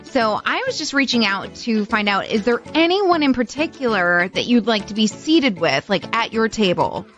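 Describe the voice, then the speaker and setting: A woman's voice: on the high side, very animated, normal speed, fairly bright tone, faint music behind. A woman playing a polite, upbeat party planner on a prank phone call, effusively courteous and businesslike. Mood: chirpy, courteous.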